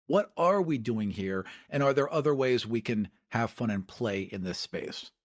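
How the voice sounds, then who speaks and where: A man's voice: medium pitch, animated, moderate pace. A man hosting a casual tabletop gaming discussion, emphatic and given to rhetorical questions. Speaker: podcast host.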